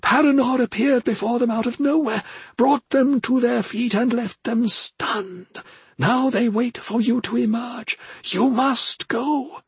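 A man voicing a solemn old ghostly mentor in an audiobook, with a thin, strained voice and slow, formal, drawn-out phrasing. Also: very high voice, breathy edge, no room sound, voice pushed up, exaggerated voice. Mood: urging. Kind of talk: audiobook character acting.

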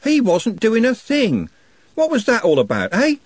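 A man voicing a slick young street lad who shouts and rants in outrage. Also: high voice, wildly swooping pitch, takes it slowly, dry recording, voice pushed up, full character voice. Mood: furious.

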